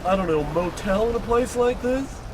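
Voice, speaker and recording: A man performs a bossy, impatient traveling salesman in a parody radio play. His voice is high and brash, recorded over loud vehicle noise. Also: normal speed, lightly acted. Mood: agitated. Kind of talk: parody old-time radio play acting. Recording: very noisy, vehicle ambience.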